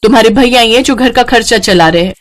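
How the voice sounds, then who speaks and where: A woman voicing a resentful, sharp-tongued village daughter-in-law in a story, blunt and complaining. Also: a little high, fast talker, natural voice. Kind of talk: acted Hindi moral story dialogue.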